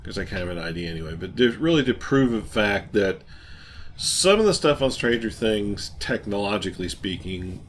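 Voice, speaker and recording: A man explaining old gear casually as a show host, in a halting, rambling, enthusiastic way. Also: fairly low pitch, animated. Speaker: host of a hobby radio show. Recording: light background noise.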